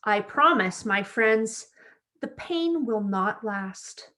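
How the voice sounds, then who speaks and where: A woman voicing a wise, reassuring storybook character in a measured, explanatory way. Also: medium pitch, expressive, bright, forceful tone.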